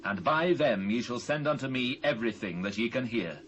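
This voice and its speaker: A British man playing a grave, devout fugitive king in a dramatised scripture, speaking solemn, measured archaic English. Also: mid-range voice, expressive, fairly bright tone, highs cut off, natural voice. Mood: commanding. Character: King David, grave sorrowful fugitive monarch, commanding and devout. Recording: faint hiss and ambience, old cassette.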